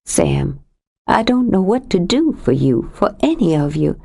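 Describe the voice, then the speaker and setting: Calm firm plainspoken woman, narrator reading her, low and slow. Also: gravelly, slightly rough, exaggerated voice. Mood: earnest. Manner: calm and firm, gently blunt. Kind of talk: audiobook character reading.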